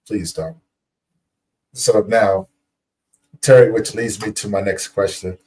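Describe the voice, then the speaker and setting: Upbeat male host, mellow and distant. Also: on the low side, slow pace. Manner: upbeat and effusive, repeats "guys" and "you know". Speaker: podcast host. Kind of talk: casual interview chat.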